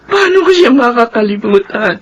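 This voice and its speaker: An actress playing an exhausted, lonely grieving daughter in a radio drama, halting and plaintive as she cries. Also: fairly high pitch, very animated, breathy edge, lo-fi sound. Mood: tearful despair. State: tearful, crying.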